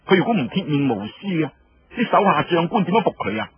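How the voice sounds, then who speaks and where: A man's voice: on the high side, big pitch swings, fast, dull audio, noisy background. A man voicing a brash, teasing woman general with a Cantonese accent, blunt and mocking in a radio story. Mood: scornful. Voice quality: husky.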